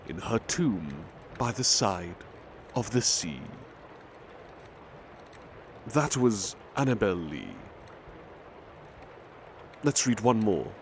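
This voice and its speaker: A man voicing a devoted, big-hearted butler who softly reads poetry aloud in an ASMR roleplay. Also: mid-range voice, a little hiss or hum. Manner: soft, unhurried, tender recitation. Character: gentle devoted male butler servant. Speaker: butler reading poetry to the listener.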